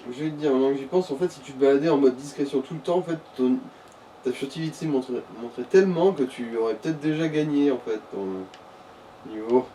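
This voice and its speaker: A man's voice: medium pitch, fairly quick, mellow. A man bantering casually beside a gamer, giving advice in a blunt, crude, teasing way. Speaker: co-commentator advising the player. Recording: distant mic with faint background noise.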